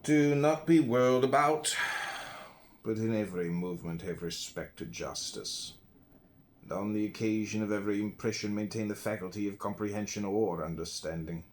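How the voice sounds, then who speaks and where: A man with an American accent and a fairly low voice reads philosophy aloud like an audiobook narrator. His delivery is solemn and emphatic, with a declamatory turn to the phrases. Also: normal speed, darkish tone. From United States. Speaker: audiobook reader. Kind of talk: audiobook reading of classical philosophy.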